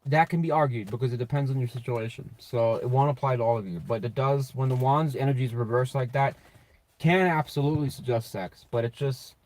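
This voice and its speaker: A man giving a casual, off-the-cuff tarot reading in a blunt, sweary, slangy way, with a slightly raspy voice. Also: mid-range voice, a little mellow, low-quality recording. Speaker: tarot reader and channel host.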